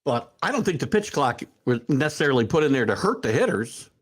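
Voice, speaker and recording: A man's voice: medium pitch, a little fast, slightly rough. A man in his sixties hosting a sports talk stream, rambling with many fillers and restarts, then building into emphatic rants as he answers viewer comments. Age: 60s. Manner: animated, rising into emphatic rants. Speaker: sports talk show host. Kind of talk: livestream chat reading viewer comments.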